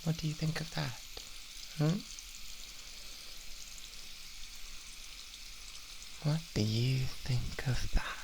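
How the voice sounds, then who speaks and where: A British man playing an obsessive, doting boyfriend with quiet menace in an intimate, cooing ASMR roleplay. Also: a little high, gravelly, background noise. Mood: possessive, menacing. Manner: cooing, slow, possessive, drawn-out words.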